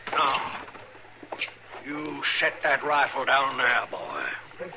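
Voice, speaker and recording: An actor playing a mad, wrathful old backwoods preacher in an old-time radio western, thundering in archaic biblical speech. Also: male voice, medium pitch, very animated, very slow, full character voice. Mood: wrathful, menacing. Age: older. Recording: hissy old radio drama recording.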